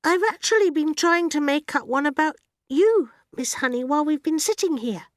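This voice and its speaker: A high, earnest little schoolgirl, polite and a bit shy. She chooses her words with care in this storybook reading, with a lively lilt and a dry, close sound. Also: moderate pace, no echo. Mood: hesitant, shy. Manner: polite, careful, precise. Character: bright, earnest, shy five-year-old schoolgirl.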